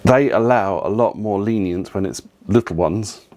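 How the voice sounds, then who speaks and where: A man talks through family travel tips in an easy, chatty way. His voice sits fairly low. He drifts into opinionated asides and pauses on plenty of ums. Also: a little mellow. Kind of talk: casual advice talk to camera.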